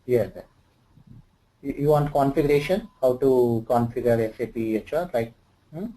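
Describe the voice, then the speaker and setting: A man with an Indian English accent explains things one-on-one as an instructor. His voice is mellow and soft-edged, and he speaks haltingly with lots of fillers and loose grammar. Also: medium pitch, rough recording. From Andhra Pradesh, India. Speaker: SAP HR instructor. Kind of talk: informal one-on-one tutoring.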